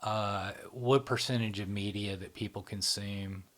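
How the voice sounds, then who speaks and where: Middle-aged man, low-ish voice, teacherly explainer who keeps asking "right?". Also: steady tone, a little slow, a bit bright. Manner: teacherly, checks in with "right?" often. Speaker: psychology channel founder, explaining. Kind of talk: explanatory conversation.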